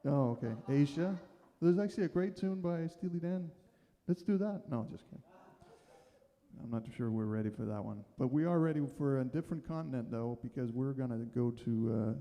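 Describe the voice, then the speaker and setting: A man's voice: medium pitch, fairly quick, warm tone, in a large hall. A man in his forties from Denmark, a bandleader joking and chatting loosely with fillers between songs at a small live music venue. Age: 40s. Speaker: bandleader and violinist. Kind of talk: casual stage banter between songs.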